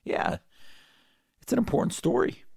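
A man's voice: moderate pace, a little mellow, no echo, studio quality. A man giving opinionated talk-show commentary in an emphatic, sarcastic, combative manner. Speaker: political commentator.

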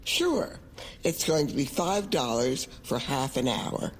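A woman playing a prim, polite but firm librarian in an audio drama, measured and courteous. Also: extremely low pitch, expressive, heavily acted. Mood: polite firmness. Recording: echoey hall, faint room noise.

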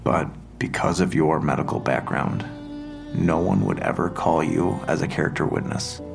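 An actor playing a calm, blunt police detective who questions someone in a measured, grave manner. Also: male voice, a bass voice, creaky, full character voice. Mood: blunt, controlled. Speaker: police detective in an audio drama. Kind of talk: dramatic interrogation dialogue. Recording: music under the voice.

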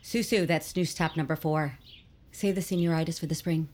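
Woman playing a low-voiced dry, strict mom. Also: steady tone, moderate pace, background noise, close to an everyday voice. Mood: sarcastic, stern. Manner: dry, sarcastic. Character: dry, strict mother nagging her teenage daughter. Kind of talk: audio drama acting.

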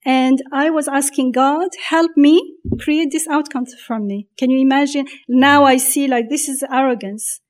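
A woman talking reflectively about faith in a conversation, pausing often and restarting her thoughts as she searches for words. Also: sits high, steady tone.

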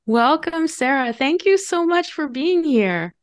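A woman's voice: high voice, expressive, measured pace, dry recording. Enthusiastic woman podcast host, dry sound. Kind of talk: podcast interview hosting.

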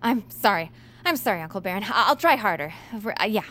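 An actress playing a timid, earnest young niece in an audio comedy, hesitant and openly emotional. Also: female voice, medium pitch, lively intonation, fast talker, fairly bright tone, natural voice. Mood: timid, apologetic. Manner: hesitant, stammering, eager. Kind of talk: audio fiction comedy acting.

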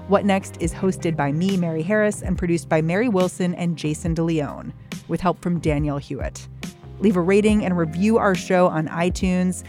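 A woman hosting a news podcast, narrating a scripted segment in a brisk, clear storytelling manner. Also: fairly low pitch, a little mellow, faint music behind. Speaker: podcast host.

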